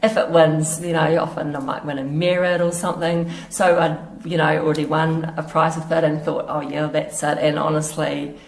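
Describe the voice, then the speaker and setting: Woman, New Zealand accent, hoarse, low-pitched, casual halting chat, echoey hall. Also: flat delivery. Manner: chatty, halting, laughs easily. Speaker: quilter being interviewed. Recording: echoey room.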